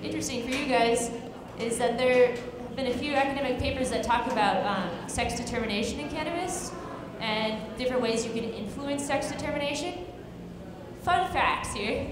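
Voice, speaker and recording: A woman's voice: on the high side, normal speed. Woman lecturing in echoey hall. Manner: casual, many fillers, explains step by step. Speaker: guest speaker. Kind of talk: informal science talk. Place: dim pub event room with projector, live audience. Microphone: handheld mic. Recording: echoey hall, loud crowd chatter.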